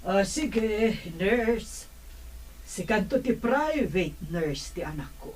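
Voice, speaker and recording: A woman's voice: medium pitch, big pitch swings, takes it slowly, darkish tone. A woman acting a well-off middle-aged mother and soon-to-be grandmother in an audio drama, speaking expressively. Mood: composed, instructing.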